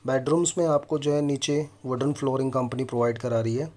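A man's voice: mid-range voice, steady tone, fast, mellow. Indian man presenting a property walkthrough. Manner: explanatory, addresses viewers directly. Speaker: property consultant and video host. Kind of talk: property walkthrough presentation mixing Hindi and English.

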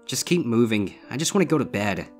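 A man's voice: medium pitch, sing-song, a little fast, faint music behind, voice pushed up, lightly acted. A man voicing a young male griffin student in a fan fiction reading, uneasy, hesitant and grumbling. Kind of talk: fan fiction audiobook character voice.

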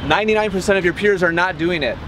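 A man with a slightly high-pitched voice instructs a group as their coach. His phrasing is deliberate and emphatic. Heavy outdoor noise surrounds him. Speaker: tennis coach and clinic host. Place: outdoor public tennis courts. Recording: loud outdoor noise, traffic and ambience.

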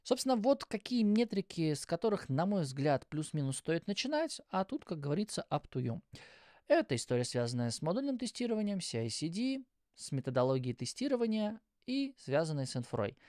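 A man's voice: fairly high pitch, big pitch swings, a little fast, darkish tone, no room sound. A man in his thirties giving an explanatory tech presentation in a conversational way, with informal asides, speaking close to a studio microphone. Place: indoor studio with acoustic foam. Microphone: close microphone on a boom arm.

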